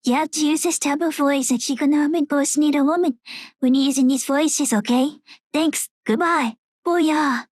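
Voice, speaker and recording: A man's voice: very high voice, moderate pace, no room sound, studio quality, pitched above the performer's own voice. A man voicing a chatty young woman through an AI voice changer.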